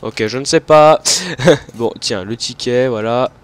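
A man's voice: medium pitch, slow pace, clean tone. Chatty young man with a stuffy nose. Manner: chatty, rambling, many fillers and self-corrections. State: stuffy nose from hay fever. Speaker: gaming video host. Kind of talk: casual gameplay commentary.

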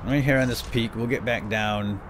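A man's voice: medium pitch, steady tone, moderate pace, noisy background. A man giving playful, theatrical, lightly role-played video game commentary, talking to his companion as he plays. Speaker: gaming video host. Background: game ambience and effects.

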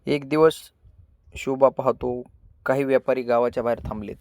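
A man tells a children's story as a scripted narrator. His voice sits at a middle pitch, and he sets out short phrases with measured drama. The recording is dry and close. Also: steady tone, a little mellow, no echo.

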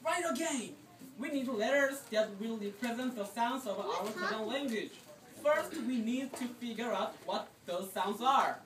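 A woman's voice: on the high side, lively intonation, measured pace, fairly bright tone. A woman playing a kind, thoughtful king who teaches eagerly in an amateur puppet show. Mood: encouraging, excited. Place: classroom, performers behind a draped table. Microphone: distant camera. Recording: noisy, echoey classroom from far off.

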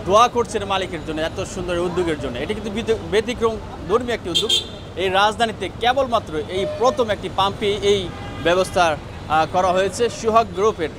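A man reporting on location at a petrol station with a handheld mic, talking at length with many hesitant pauses. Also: sits high. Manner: wordy, with frequent hesitations. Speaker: field reporter. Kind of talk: on-the-spot news report. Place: outdoors at a busy roadside petrol station. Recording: traffic noise outdoors.

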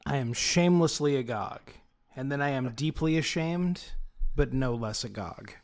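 A man playing a tabletop game, narrating his character in deadpan, formal wording. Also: medium pitch, normal speed.

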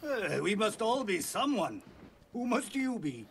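An actor playing a gruff, weary, hard-drinking smuggler in a video game, grumbling and reluctant. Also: male voice, sits high, big pitch swings, a little slow, crowd noise, exaggerated voice. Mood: wary, grumbling. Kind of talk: video game cutscene acting.

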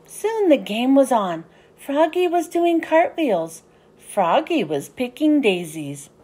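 A woman reading a children's storybook aloud in an animated, sing-song way with deliberate phrasing. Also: sits high, breathy edge. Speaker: children's book read-aloud host. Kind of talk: expressive storybook narration for young children.

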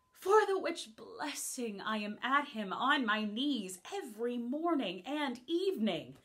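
A witty maiden who playfully mocks the idea of taking a husband, as a woman acts her out. She speaks slowly, in a high, animated voice. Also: heavily acted. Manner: teasing, playful. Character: witty, mocking young woman scorning marriage. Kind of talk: classical comic monologue.

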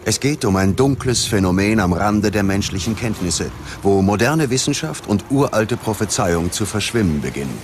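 A man's voice: lowish voice, lively intonation, moderate pace, hardly any pauses, slight background noise. A man narrating a scripted documentary in a grave, dramatic manner. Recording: music bed behind the voice. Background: background music.

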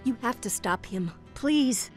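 Slow-spoken, worried rebel fighter. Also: female voice, fairly high pitch, lively intonation, soft background music. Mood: urgent pleading. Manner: pleading, cautionary. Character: stern, worried young woman rebel fighter. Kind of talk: video game cutscene acting.

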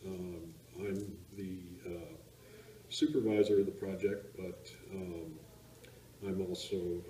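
A middle-aged man with a very low voice talks haltingly through an interview, pausing often on fillers. He sits at a distance from the camera mic, so his voice sounds roomy and echoey in a big warehouse. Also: a little mellow, a little hiss or hum. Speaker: project supervisor. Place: large warehouse indoors.

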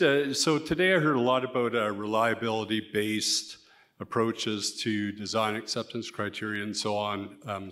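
A man's voice: fairly low pitch, a bit bright. A man in the audience asking a technical question politely, with some hesitation.

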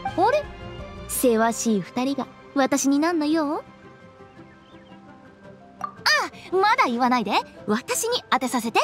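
A woman's voice: sits high, sing-song, moderate pace. An actress in a Japanese anime game playing a quirky, teasing young woman with a theatrical, sing-song way of telling things. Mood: playful, teasing. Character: playful, quirky young woman running a funeral parlor. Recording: faint music behind the voice.

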